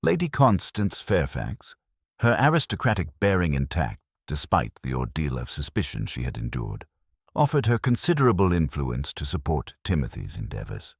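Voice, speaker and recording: A man narrating a detective story in a measured, formal storytelling manner. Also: rumbling low, sing-song, gravelly, slightly rough, no room sound, studio quality. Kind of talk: scripted audiobook narration.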